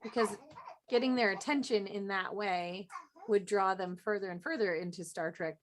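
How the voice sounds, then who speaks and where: A woman's voice: medium pitch, moderate pace, a little hiss or hum. A woman chatting informally as a panelist on a fan talk show. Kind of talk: casual chat.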